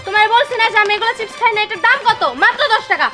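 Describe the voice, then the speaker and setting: A woman playing a scornful, complaining wife in a comic drama. Her voice is very high and she talks quickly. Loud music plays behind her. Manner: scolding, complaining. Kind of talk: comic village drama acting.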